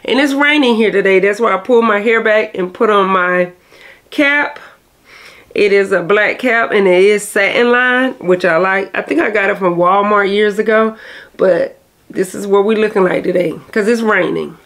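Nasal woman vlogger, casual and rambling, mid-pitched. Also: normal speed, fairly bright tone. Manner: rambling, chatty, self-correcting. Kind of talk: casual vlog chat to camera.

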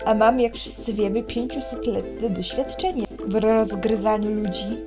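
A woman's voice: medium pitch, fairly even intonation, normal speed, over music. A woman reading a visual novel aloud expressively, with wry, teasing asides.

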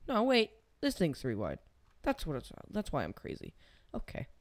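A man's voice: fairly high pitch, huge pitch swings, normal speed, gravelly. A young man casually commenting on his own gameplay, thinking aloud and rambling as he builds. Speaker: gaming channel host.

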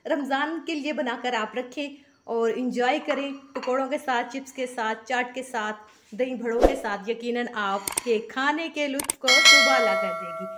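A woman's voice: a little high, unhurried, noisy background. A woman, hosting a cooking channel and explaining a recipe step by step in a homely, chatty way, sprinkled with blessings. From Pakistan. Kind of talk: casual cooking tutorial voice-over. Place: home kitchen.

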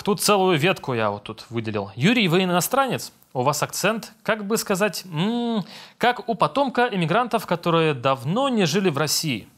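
A young man, a voice-over dubber, casually reading out viewer comments and answering them at his desk at home, relaxed and self-deprecating. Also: high voice. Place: home room with monitors, indoors.

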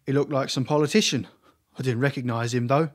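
A talkative, cowardly male street thug from an audio drama, acted by a man. His voice is medium in pitch. He is slangy and nervous, blurting things out in clipped bursts. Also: steady tone, a little fast, natural voice. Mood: defensive, rattled.

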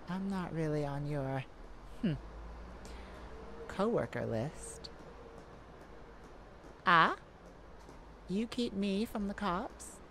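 A woman's voice: fairly low pitch, noisy background. A woman playing a cocky, flirty female cat burglar in an ASMR roleplay, with a teasing, sassy, theatrical delivery.

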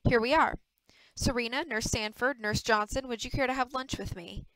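A woman's voice: fairly high pitch, moderate pace, fairly bright tone, no room sound, low-quality recording. A woman voicing a cocky young male soap star with a flippant, boastful manner in an audiobook. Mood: cajoling.

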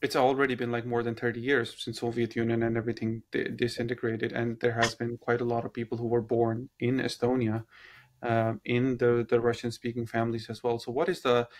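A man's voice: mid-range voice, monotone, fairly quick, slight background noise. A man hosting a podcast, asking probing questions over a video call from his home and rephrasing them as he goes. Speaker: podcast host. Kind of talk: interview questions. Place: room at home, indoors. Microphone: laptop on a video call.

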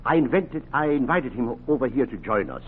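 An actor playing an excitable, well-meaning professor in a 1940s radio drama, stammering and earnest. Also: male voice, medium pitch, a little fast, close to an everyday voice. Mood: flustered, eager. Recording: muffled, crackly 1940s radio recording.

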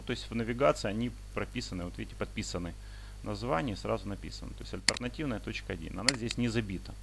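A man giving an unscripted software tutorial, explaining step by step in a halting way over a screen recording. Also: medium pitch. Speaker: instructor demonstrating paragliding flight software. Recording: clearly noisy home screencast with clicks.

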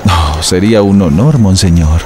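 An actor playing a humble, devoted young village priest, soft-spoken and modest, in a dubbed film. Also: male voice, sits low, normal speed, over music, lightly acted. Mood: earnest. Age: young adult. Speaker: newly ordained priest. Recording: film dub with loud score.

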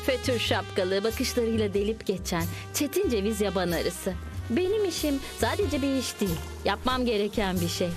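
Cartoon insect heroine voiced by a woman, film-noir detective narration, mock-serious and eager, clear music underneath. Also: on the high side, expressive, moderate pace, natural voice. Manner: dramatic, mock-serious storytelling. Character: eager young female insect playing hard-boiled film-noir detective.

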